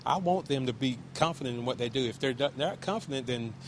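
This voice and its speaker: Man, wrestling coach, loud voice, emphatic, repeats himself, faint background noise. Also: mid-range voice, animated, a little fast. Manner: emphatic, repeats catchphrases, many restarts. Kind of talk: casual interview.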